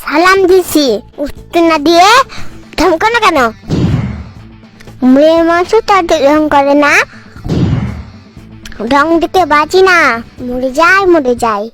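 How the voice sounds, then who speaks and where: An extremely high, squeaky small child from a comic cartoon. The kid is cheeky and bossy, scolds and teases, and mangles words. Music plays behind the voice. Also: takes it slowly, pauses often, fairly bright tone, full character voice. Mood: indignant teasing.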